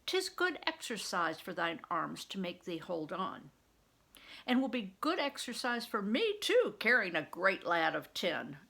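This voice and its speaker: A woman's voice: mid-range voice, very animated, unhurried, creaky, bright, forceful tone, full character voice. An older woman reading the part of a kindly medieval friar in a storybook, with archaic, reassuring, instructive speech. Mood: hearty, encouraging. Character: kindly medieval friar, a man caring for a boy.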